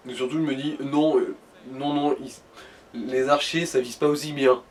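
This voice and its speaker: A man's voice: mid-range voice, steady tone, unhurried, a little mellow. Distant male gamer over background noise. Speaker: let's play co-host. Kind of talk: casual gaming chat.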